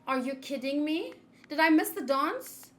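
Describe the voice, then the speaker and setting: A woman's voice: high-pitched, big pitch swings, measured pace, a bit bright, faint music behind. A woman with a South African accent chatting casually on a game livestream from home. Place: room at home used for streaming.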